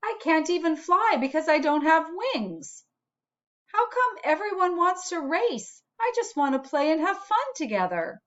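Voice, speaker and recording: A woman voicing a young female hedgehog in a storybook, gentle and earnest, with a plaintive, self-doubting manner. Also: very high-pitched, very animated, a little slow, lo-fi sound, voice pushed up, exaggerated voice. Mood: sad, plaintive.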